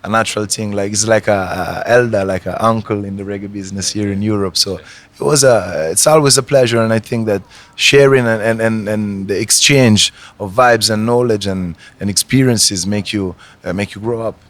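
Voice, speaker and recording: Pria penyanyi reggae dengan aksen Italia. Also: male voice, lowish voice, normal speed, a bit bright. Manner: hesitant, many restarts and fillers. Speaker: reggae artist. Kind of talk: interview answers.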